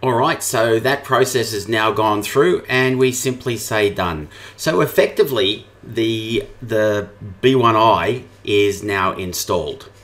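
A man giving an informal screen-share tutorial, explaining things step by step as a software trainer. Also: on the low side, slow, hardly any pauses.

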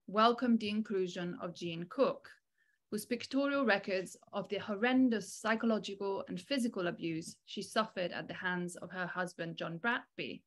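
Woman giving a scholarly lecture. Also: medium pitch. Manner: measured, articulate, scholarly. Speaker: academic keynote speaker. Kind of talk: formal scripted academic lecture. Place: small indoor room, online video call. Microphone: video call microphone.

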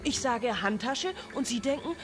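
A woman's voice: on the high side, lively intonation, moderate pace, close to an everyday voice. An actress playing a defensive, indignant single mother in a radio drama, sarcastic and scornful. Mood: scornful, angry. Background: music.

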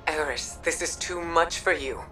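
A worried, firm mentor from a video game drama. Her very low voice is hushed and measured, set a little distant under loud music. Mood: worried, reproachful. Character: grave, caring female mentor, worried and firm.

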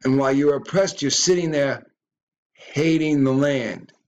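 A man's voice: a little high, steady tone, measured pace, darkish tone. A man giving a ranting reaction commentary on a video, stumbling and repeating words as he goes.

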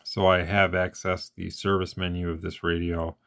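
A man's voice: deep voice, flat delivery, moderate pace, gravelly, fairly bright tone. A man hosting a casual hands-on radio gear demo, talking in a plainspoken, informal way. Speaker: radio review host. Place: indoors at an equipment bench.

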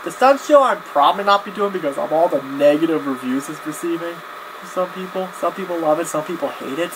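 A young man talking casually to camera in a halting, rambling vlog style, often backtracking and repeating himself. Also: a little high, lively intonation. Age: young adult. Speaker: video blogger. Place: small cluttered home room, indoors. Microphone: webcam at desk distance. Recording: clear background noise, webcam-grade audio.

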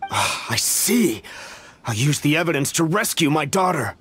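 A man's voice: on the high side, big pitch swings, moderate pace, fairly bright tone, a little hiss or hum. An actor playing an anxious, deferential middle-aged official in a video game, an earnest and easily flustered worried father. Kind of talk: video game character acting.

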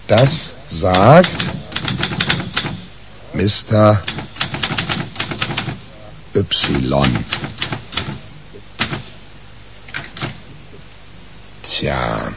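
A man's voice: fairly low pitch, very animated, extremely slow, pauses often, background noise, full character voice. An actor playing a wry, exasperated man in a 1980s radio crime drama, telling his tale dryly and hesitantly. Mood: slow, mocking dictation.

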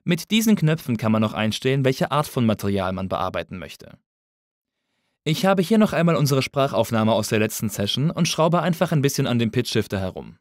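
A man narrating a scripted tutorial as an instructor, in a measured, explanatory way. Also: medium pitch, very animated, no room sound, studio quality.

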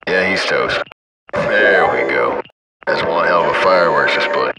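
A man's voice: low voice, a little slow. An actor playing a laid-back, cocky military gunship crewman, chatting casually over the radio in a video game. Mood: gleeful, cocky. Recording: distant military radio, loud aircraft cabin noise.

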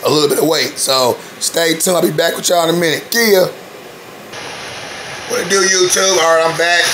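Slightly hoarse guy, kinda high voice, rambly slangy cooking vlog on his phone in the kitchen. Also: background noise. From Evansville, Indiana, US. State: a bit hoarse. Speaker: cooking vlogger. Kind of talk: casual home cooking vlog. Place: home kitchen at night. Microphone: phone held at arm's length.

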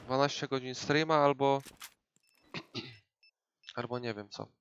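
A man's voice: medium pitch, steady tone, measured pace, fairly bright tone, clear, resonant tone, lo-fi sound. A young man from Poland with a Polish accent, casually commenting on his gameplay live from a home room into a headset mic, with many fillers. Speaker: gaming streamer.

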